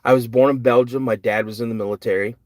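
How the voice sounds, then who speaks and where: A man's voice: medium pitch, fairly even intonation, fast, darkish tone, no echo. An older man hosting a live podcast, reading and answering chat questions in a rambling, earnest, self-correcting way. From United States. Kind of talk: casual live-stream chat.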